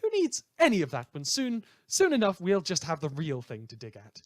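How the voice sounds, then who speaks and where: A man playing a brooding, dramatic host of a post-apocalyptic town radio show, delivering theatrical monologues with heavy pauses. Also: high-pitched, huge pitch swings, no room sound, studio quality. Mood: ranting, scornful. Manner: theatrical, dramatic pauses, swinging from hushed to loud. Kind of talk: fictional community radio broadcast monologue.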